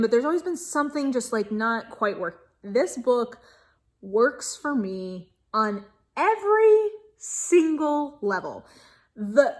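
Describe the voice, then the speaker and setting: A woman giving a casual video book review in a gushing, emphatic manner, stretching key words for stress. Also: medium pitch. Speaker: book reviewer and channel host.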